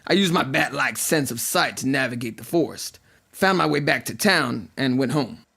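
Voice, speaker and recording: An actor playing a cocky, theatrical vampire rock star, telling boastful and flippant stories in a podcast drama. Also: male voice, medium pitch, expressive, moderate pace, vocal fry, pushed and bright, slightly rough, exaggerated voice. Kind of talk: fiction podcast acting, mock interview. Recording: music playing behind the voice.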